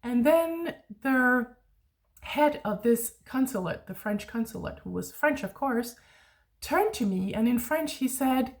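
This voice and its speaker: A Danish woman who tutors Danish, talking casually to learners, chatty and reflective, often pausing to search for words. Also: on the high side, lively intonation. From Denmark. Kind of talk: casual online lesson talk.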